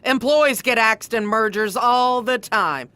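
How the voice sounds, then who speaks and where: A woman's voice: a little high, unhurried, sharp tone. An actress playing a sarcastic, domineering woman boss in a comedy audio drama, curt and commanding. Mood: menacing. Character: sarcastic, domineering agency boss woman who rules by fear.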